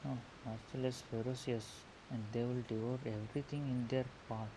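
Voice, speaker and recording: A man giving casual gameplay commentary, thinking aloud haltingly, recorded on a murky earphone microphone. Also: mid-range voice, steady tone. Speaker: gaming video host. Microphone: earphone mic. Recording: hissy, murky earphone mic.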